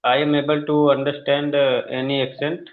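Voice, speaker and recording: A man from India with an Indian accent practicing spoken English. He pauses often with fillers, and his grammar shows English is not his first language. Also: mid-range voice, level tone. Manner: hesitant, many fillers, non-native grammar. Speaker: English learner. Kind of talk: online conversation practice. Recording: tinny phone-like call audio.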